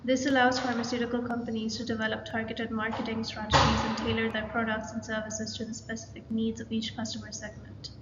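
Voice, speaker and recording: A woman's voice: a little high, brisk, hardly any pauses, highs cut off, very noisy. Young woman reading slides in an echoey room. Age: young adult. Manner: even, read-aloud delivery with list-like pacing. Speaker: student presenter. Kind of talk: scripted presentation narration.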